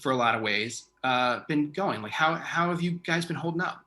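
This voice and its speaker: Bright, forceful-voiced man hosting a casual interview. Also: fairly high pitch, animated, normal speed. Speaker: interview host, artistic director.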